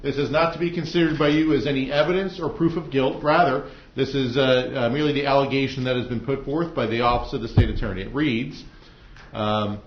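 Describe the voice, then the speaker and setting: A man with an American accent speaking as a judge, formally addressing jurors with halting hesitations. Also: medium pitch, steady tone. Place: indoor courtroom. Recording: echoey courtroom, dull muffled audio.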